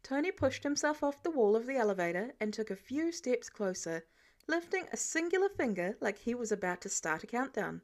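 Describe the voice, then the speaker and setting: A woman's voice: a little high, sing-song, brisk. A woman reading scripted fiction narration as an audiobook narrator. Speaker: podfic narrator.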